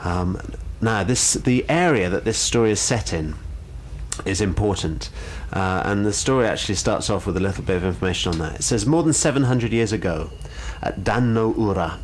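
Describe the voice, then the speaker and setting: A man speaking as a guest storyteller, polite and measured, explaining and reading aloud with some hesitant phrasing. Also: sits low, a little hiss or hum.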